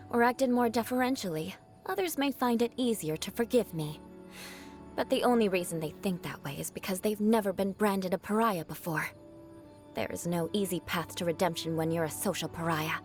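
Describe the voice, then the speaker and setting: Game role: actress as haughty, vengeful young noblewoman knight and outcast. Medium pitch, very lively intonation, formal indignant delivery, music underneath. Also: natural voice. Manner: haughty, formal, crisp wording with indignant emphasis. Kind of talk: scripted video game character voice lines. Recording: music behind the voice.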